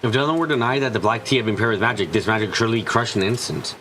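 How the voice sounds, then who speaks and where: A man playing a thoughtful, analytical male character in a visual novel fan dub, reasoning in a measured manner. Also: lowish voice, sharp tone, slight background noise. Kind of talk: scripted fan-dub game dialogue.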